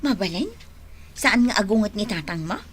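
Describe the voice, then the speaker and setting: An actress playing a chatty, forthright village neighbour in a radio drama, with a brisk manner and an Ilocano accent. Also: female voice, medium pitch, animated, lightly acted. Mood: friendly, curious. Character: pushy, chatty village neighbour woman asking favours.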